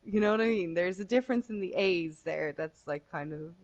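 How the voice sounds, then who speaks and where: A woman's voice: lowish voice, brisk, lo-fi sound. An Irish woman co-hosting a podcast, chatting casually and swearing often with exasperation. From Ireland. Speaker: podcast co-host. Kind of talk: casual chat.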